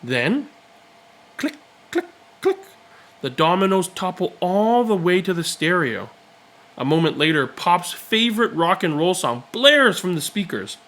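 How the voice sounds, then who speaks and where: A man reading a children's picture book aloud, with expressive, deliberate and dramatic phrasing. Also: high voice. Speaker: read-aloud storyteller.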